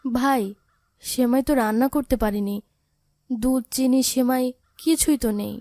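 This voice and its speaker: A woman voicing a poor orphaned big sister in a cartoon drama, gentle, sorrowful and pleading. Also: sits high, normal speed, no echo, clean studio sound. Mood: helpless, coaxing. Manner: plaintive, pleading.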